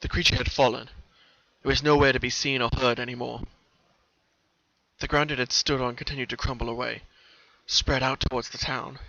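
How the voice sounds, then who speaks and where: A male narrator gives a dramatic reading of a story. His voice is mid-pitched, bright and intense, captured on a somewhat dull, lo-fi home recording. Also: level tone, moderate pace, creaky, dry recording. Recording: slightly muffled lo-fi home recording.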